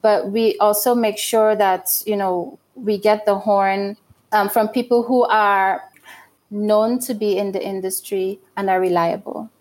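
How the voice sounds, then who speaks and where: A woman's voice: mid-range voice, steady tone, measured pace, a little mellow. A middle-aged woman from Guyana giving thoughtful, explanatory interview answers in a conversational style.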